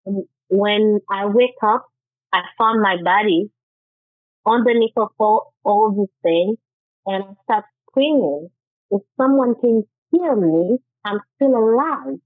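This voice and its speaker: Lady telling her story, halting and earnest, thin phone-line sound, dry. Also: medium pitch, no room sound. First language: Haitian Creole. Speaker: guest author. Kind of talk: interview, personal testimony.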